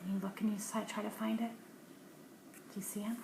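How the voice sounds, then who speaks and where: A woman reading a picture book aloud to children, dropping to hushed asides about the pictures between livelier read lines. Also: mid-range voice, level tone, a little fast, vocal fry. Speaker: storybook reader. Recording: roomy echo, faint background hiss.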